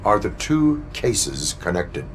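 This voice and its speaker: Game role: cyborg police officer, low-pitched and formal, deadpan yet widely swinging in pitch. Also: male voice, slow pace, a little hiss or hum. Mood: stoic, formal. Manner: formal, deadpan, stiff clipped phrasing. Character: stoic cyborg police officer, a dead man turned machine. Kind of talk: video game cutscene acting.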